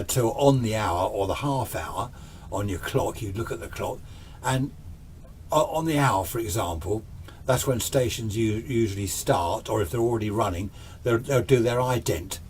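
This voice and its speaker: Low-voiced older man rambling over background noise. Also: lively intonation, normal speed, a little mellow. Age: 60s. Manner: rambling, conversational, self-questioning asides. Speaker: amateur radio hobbyist presenter. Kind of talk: informal unscripted hobby explainer.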